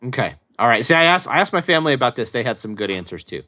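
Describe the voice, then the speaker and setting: A man chatting casually as a panel guest, a little halting as he thinks aloud. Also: mid-range voice, very animated, bright, forceful tone.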